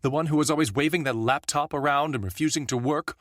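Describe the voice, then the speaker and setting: A shaken woman describing a brutal attack she has just seen, as a man puts on her voice. She speaks high and tense, a little louder than the narration. The sound is dry and close. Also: fast talker, no echo, lightly acted. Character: shaken woman witness recounting a killing.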